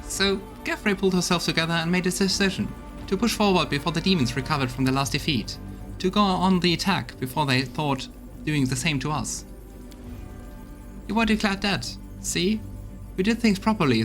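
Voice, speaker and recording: A man's voice: fairly high pitch, moderate pace, fairly bright tone, faint music behind. A man voicing a loyal, weary female army officer in a video game, talking in an earnest, folksy way. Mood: candid, confiding. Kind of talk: voiced video game dialogue.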